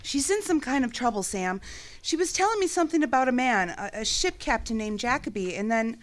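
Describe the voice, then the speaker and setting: An actress playing a loyal, anxious detective's secretary in a noir radio play, hesitant and pleading. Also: female voice, fairly high pitch, expressive, brisk, lightly acted. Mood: alarmed.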